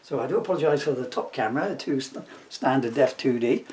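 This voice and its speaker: A man's voice: mid-range voice, animated, fast talker, noisy background. Chatty middle-aged man in an echoey room. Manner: jokey, rambling asides, amused by his own jokes. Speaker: author reading from his own children's book. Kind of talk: informal, chatty video book reading. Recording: roomy echo, distant microphone.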